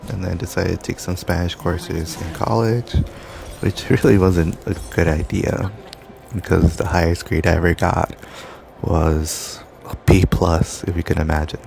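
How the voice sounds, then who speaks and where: A man's voice: low voice, fairly even intonation, a little slow, creaky, a little mellow. A young man rambling casually over gameplay, drifting through loose stories full of fillers. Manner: loose, meandering, filler-heavy storytelling. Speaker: gaming commentator. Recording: background noise.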